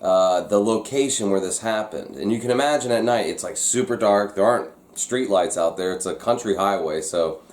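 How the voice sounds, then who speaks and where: A man's voice: lowish voice, moderate pace, few pauses. A man hosting a vlog, telling a story to viewers in a casual, conversational way. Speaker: vlog host. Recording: echoey, roomy sound.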